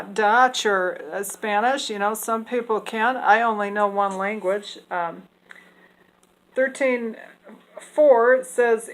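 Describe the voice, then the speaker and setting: A woman's voice: on the high side, fairly bright tone, low-quality recording. A woman explaining scripture passages in an unscripted talk, earnest and hesitant, with many fillers and restarts. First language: English. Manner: earnest, opinionated. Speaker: speaker explaining Bible passages.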